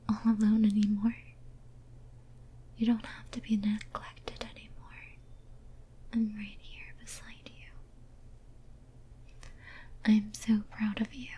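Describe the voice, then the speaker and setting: A woman's voice: medium pitch, slight background noise, lightly acted. A woman playing a lonely, devoted, possessive female demon in an ASMR roleplay, whispering tenderly and intimately. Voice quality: soft breathy whisper. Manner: tender, clingy, intimate, slow and halting.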